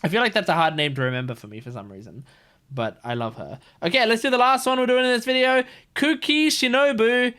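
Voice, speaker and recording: A man's voice: sits high, brisk, sharp tone. A man hosting a reaction video, giving casual, gushing and enthusiastic commentary.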